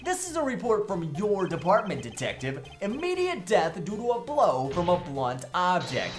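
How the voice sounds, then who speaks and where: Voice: medium pitch, wildly swooping pitch, normal speed, soft background music. A performer voicing a brash, sarcastic defense attorney who rambles with goofy asides in a comedic video game fandub. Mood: cocky.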